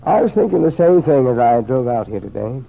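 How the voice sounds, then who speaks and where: An actor playing a kindly, thoughtful gentleman with a gravelly, husky voice in an old-time radio serial. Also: mid-range voice, expressive, brisk. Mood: pondering. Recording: tinny, narrow vintage radio sound.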